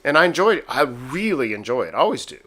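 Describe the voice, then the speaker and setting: A man's voice: mid-range voice, sing-song, quick pace, fairly bright tone. A man of about fifty chatting casually as a co-host, with a self-deprecating way of talking. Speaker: podcast co-host. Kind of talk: casual chat.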